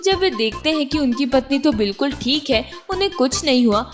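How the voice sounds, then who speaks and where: A woman's voice: sits high, expressive, a little fast. A woman reading scripted narration for a children's moral story. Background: music.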